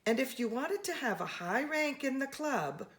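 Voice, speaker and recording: A woman reading a children's storybook aloud in an expressive, animated way, speaking to young listeners. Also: high voice, normal speed. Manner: expressive, with gasps and brief asides to listeners.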